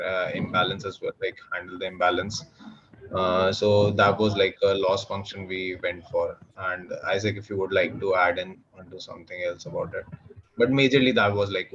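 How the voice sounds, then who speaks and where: A man answering a technical question on a video call, casual, using "like" often. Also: low-pitched. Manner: casual, frequent "like". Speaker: project team member. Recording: dull, lo-fi line with faint noise.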